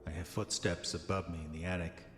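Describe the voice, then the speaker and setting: An actor playing an arrogant, dissolute diarist of the 1910s, speaking formal old-fashioned entries in a pompous manner for a horror audio drama. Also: male voice, deep voice, level tone, brisk, slight background noise, close to an everyday voice. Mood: bitter, uneasy. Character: arrogant, dissolute 1910s male diarist, self-satisfied, increasingly haunted. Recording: echoey long reverb, music underneath. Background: music.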